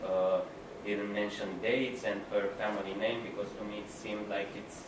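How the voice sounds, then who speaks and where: A man's voice: lowish voice, level tone, normal speed. A man in the audience asking a long, rambling question at a film Q&A. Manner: rambling, filler-heavy questioning. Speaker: audience member. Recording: echoey hall, distant, noisy.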